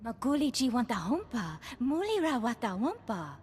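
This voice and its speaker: Animated high-voiced alien. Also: female voice, normal speed, in a large hall, slight background noise. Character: female alien speaking a bouncy invented tongue. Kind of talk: video game alien dialogue.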